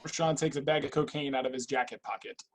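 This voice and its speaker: A man with a husky voice reading stage directions aloud as scripted narration in a play reading. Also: medium pitch, fairly even intonation, quick pace.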